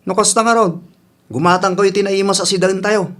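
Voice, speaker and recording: A man's voice: sits high, steady tone, quick pace, fairly bright tone. A man playing a brash, impatient grown son in a radio drama, insistent and dismissive, with an Ilocano accent. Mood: nagging. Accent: Filipino (Ilocano).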